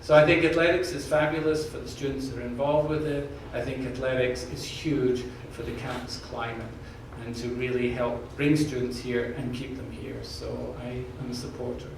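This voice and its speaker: A man's voice: mid-range voice, level tone, moderate pace, creaky, few pauses. A man with a slight Scottish accent answering questions at an open forum, earnest and jokey, often repeating words as he restarts. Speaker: university president candidate. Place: large echoey room from far off. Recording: echoey room, distant mic, background noise.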